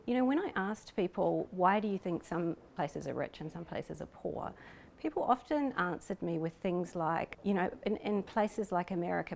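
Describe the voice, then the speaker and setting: A woman's voice: on the low side, vocal fry, a little mellow, a little hiss or hum. A woman with a New Zealand accent, speaking thoughtfully and hesitantly as an interviewed researcher, with frequent you-knows. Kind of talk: reflective interview talk.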